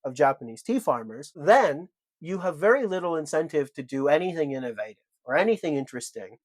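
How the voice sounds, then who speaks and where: A man's voice: a little high, sing-song, a little fast, dry recording. A man co-hosting a podcast, laying out his argument point by point with emphasis. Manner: emphatic, builds arguments in lists. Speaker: podcast co-host.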